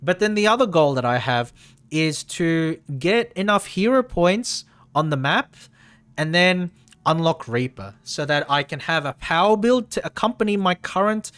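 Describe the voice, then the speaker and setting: A man's voice: fairly high pitch, expressive, moderate pace, a bit bright, clear, resonant tone, dry recording. A man chatting casually through a live game stream, repeating phrases for emphasis, his voice a little tired and strained.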